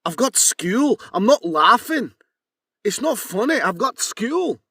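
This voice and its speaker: A man's voice: extremely high pitch, very animated, slow pace, creaky, bright, forceful tone, breathy edge, no echo, studio quality, voice pushed up, heavily acted. A man voicing an upset little girl who whines and protests indignantly. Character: upset little girl whining about school.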